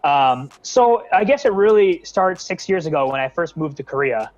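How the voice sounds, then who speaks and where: A young American man speaks earnestly in a guest interview, his talk full of fillers and restarts. His voice sits a little high. The sound is dull and lo-fi, like a video call. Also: lively intonation, moderate pace, a little mellow. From San Francisco Bay Area. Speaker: nonprofit founder, guest.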